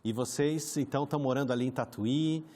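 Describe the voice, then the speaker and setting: A man's voice: mid-range voice, fast talker, darkish tone. A man with a Brazilian Portuguese accent hosting a conversational Bible study program, friendly and often chiming in with short agreements. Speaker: program host.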